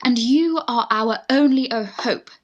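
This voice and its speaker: A woman's voice: a little high, measured pace, highs cut off. A woman with a British accent playing an eager young Victorian lady in love in a radio farce, genteel and pleading. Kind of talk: Victorian stage farce, radio play acting.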